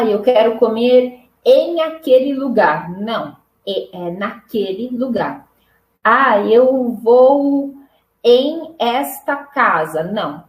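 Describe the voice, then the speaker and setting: Slow-speaking Brazilian woman teacher. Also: a little high, reverberant. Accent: Brazilian Portuguese. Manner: patient, repeats examples, frequent "né?" and "tá bom?". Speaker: Portuguese teacher. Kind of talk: live online language lesson.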